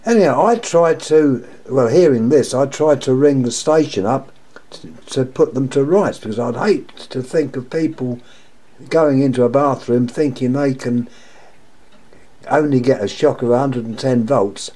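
Croaky-throated man rambling about lamps. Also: medium pitch, unhurried, mellow. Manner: rambling, repeats himself, wry complaints. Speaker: lamp collector vlogger. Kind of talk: casual show-and-tell monologue. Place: indoors at home, table of lamps.

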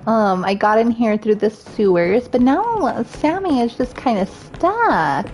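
A woman's voice: a little high, big pitch swings, slow, a little mellow. A woman with a scratchy voice chatting while she plays a game and thinks aloud. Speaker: gaming streamer. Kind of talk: let's play commentary.

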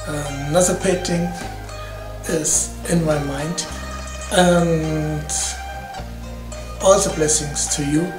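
Slow-talking man in an echoey room. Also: fairly high pitch, steady tone. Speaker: hobby painter presenting own artwork. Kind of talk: casual painting vlog. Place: indoor room at home. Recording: roomy echo with music behind. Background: music.

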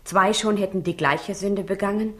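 An actress playing an intrigued, wry woman recounting events with measured, vivid delivery in a 1960s German radio drama. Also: mid-range voice, fairly bright tone, close to an everyday voice.

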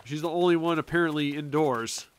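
A man's voice: a little high, a little fast, faint music behind. A man casually commenting as he plays a game on a stream, jokey and talking to himself, a bit sniffly from allergies. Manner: chatty, jokey, talks to himself and game characters. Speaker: gaming streamer.

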